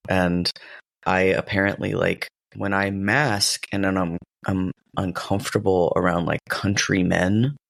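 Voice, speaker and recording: A man's voice: low voice, vocal fry, fairly bright tone, dry recording. A man chatting as a guest on a podcast, rambling loosely and laughing often. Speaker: guest, game master. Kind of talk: casual podcast chat.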